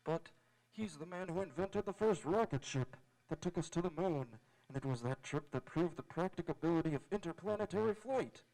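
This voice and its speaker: A man playing an earnest, polite young space adventurer, speaking sincerely and in measured phrases in old-time radio drama style. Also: a little high, creaky, mellow, low-quality recording. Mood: admiring. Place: live on an auditorium stage.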